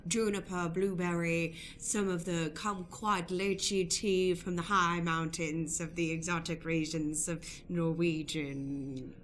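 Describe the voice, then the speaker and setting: Woman as Darwin, slow pompous suitor. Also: on the low side, steady tone, a little hiss or hum. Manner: pompous, hesitant, deliberate. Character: Darwin Doobie, awkward pompous male suitor on a date. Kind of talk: improvised radio play acting.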